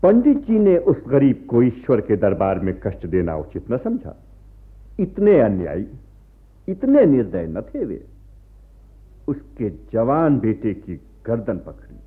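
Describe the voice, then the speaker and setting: Solemn male storyteller for a radio play, slow and very dramatic pitch swings, muffled old sound. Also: wildly swooping pitch. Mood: solemn irony. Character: solemn, ironic male storyteller of peasant tragedy. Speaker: narrator. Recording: muffled radio archive recording with music.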